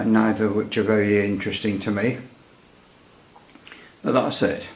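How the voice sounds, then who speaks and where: A man giving a casual hands-on equipment demonstration as a hobbyist, thinking aloud in a halting way. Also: mid-range voice. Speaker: radio repair hobbyist presenter. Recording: echoey room.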